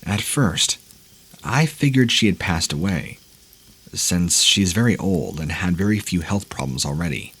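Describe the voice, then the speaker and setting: A man reading listener-submitted scary stories aloud in a measured, ominous storytelling manner. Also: deep voice, expressive, a bit bright, slightly rough. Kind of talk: scripted horror narration.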